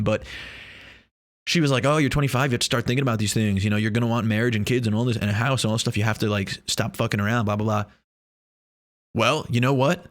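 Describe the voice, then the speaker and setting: A man's voice: lowish voice, fairly bright tone, dry recording. Cocky, joking young man, dry close sound. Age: 20s. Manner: joking, boastful, swears a lot. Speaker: vlogger. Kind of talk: casual rambling monologue. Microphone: lav or shotgun mic.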